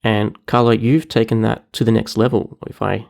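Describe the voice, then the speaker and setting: A man hosting a tech podcast, talking casually and chattily with a relaxed manner. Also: fairly low pitch, normal speed, creaky. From New Zealand. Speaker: podcast host.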